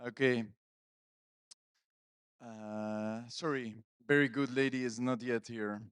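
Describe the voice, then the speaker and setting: A man's voice: mid-range voice, fairly even intonation, slow, fairly bright tone, smooth, no echo. A man hosting a conference on stage, casually bantering and teasing the audience and his guest into a microphone. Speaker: conference host. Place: conference stage at live event. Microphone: handheld stage microphone.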